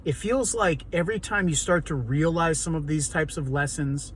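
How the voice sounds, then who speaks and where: A man talks in a raw, hoarse rasp, his voice sitting a little high. He rambles earnestly, full of ums and restarts, over faint background noise. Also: normal speed. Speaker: vlogger. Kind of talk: unscripted personal monologue to camera.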